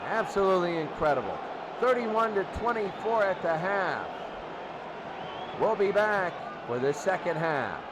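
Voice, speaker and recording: A man doing live football play-by-play, excitable and emphatic, often repeating his phrases. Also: sits high, measured pace. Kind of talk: live sports commentary. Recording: noisy recording with loud crowd. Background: loud crowd noise.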